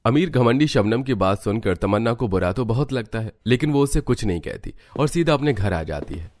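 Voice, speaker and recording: A man's voice: medium pitch, expressive, brisk, a little mellow, dry recording, studio quality. A man narrating a scripted children's cartoon story.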